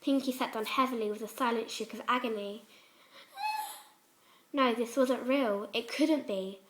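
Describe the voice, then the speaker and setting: A woman's voice: fairly high pitch, measured pace, a bit bright. A woman reading fan fiction aloud as a dramatic scripted story narration.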